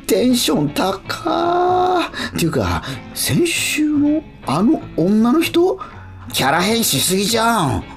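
A man's voice: very high voice, wildly swooping pitch, slow pace, heavily acted. An actor playing a cynical young male street musician, narrating a radio drama in blunt, slangy asides. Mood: puzzled, wry. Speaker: narrator and lead character. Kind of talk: first-person radio drama monologue. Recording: acoustic guitar music behind.

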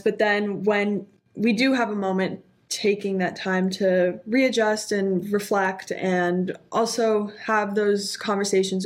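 A young woman talks quickly and casually, often saying "like" as she goes. Her pitch sits in the middle. She is heard over a video call. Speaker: guest. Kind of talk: casual interview answers.

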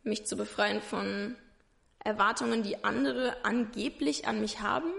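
A young woman in her twenties whose voice carries a noticeable gravelly fry. She talks haltingly and reaches for her words, filling the gaps with little hesitations, in the tone of a personal interview. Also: mid-range voice, steady tone, fairly bright tone. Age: 20s. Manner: hesitant, searching for words with many fillers. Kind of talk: personal conversation.

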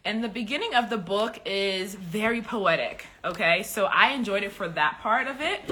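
A woman's voice: medium pitch, animated, normal speed, bright, forceful tone, slightly rough. A woman from Liberia hosting a live podcast stream, chatting casually and upbeat with her viewers, with lots of ums. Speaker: podcast host and coach.

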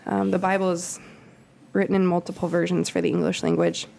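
A woman's voice: on the low side, flat delivery, fairly quick, gravelly, a little mellow, slight background noise. A woman, a children's pastor, talking casually on a podcast and reading scripture aloud, with ums and laughing asides. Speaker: kids' pastor. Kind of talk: casual podcast Bible study.

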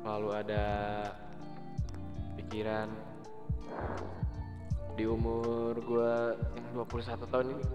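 A man's voice: medium pitch, level tone, a little slow, long pauses. A man in his twenties hosting a casual podcast chat, candid and self-doubting, rambling with fillers. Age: 20s. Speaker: podcast host. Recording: echoey, dull lo-fi podcast with music bed.

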